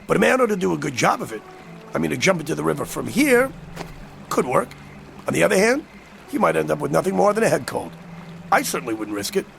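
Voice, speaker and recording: An actor playing a sly, courtly older stranger in a radio drama, with a teasing, ornately polite manner. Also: male voice, fairly high pitch, big pitch swings, quick pace, gravelly, long pauses, a bit bright, slight background noise, full character voice. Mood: amused, teasing.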